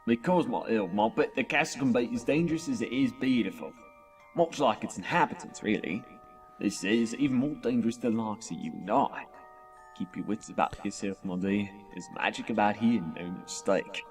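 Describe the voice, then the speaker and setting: A man's voice: lowish voice, brisk, lightly acted. A man playing a doting working-class father pony with rustic, folksy phrasing in a fan audio drama. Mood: gently cautioning. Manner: rustic, folksy phrasing with tags at sentence ends. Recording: distant mic, music behind.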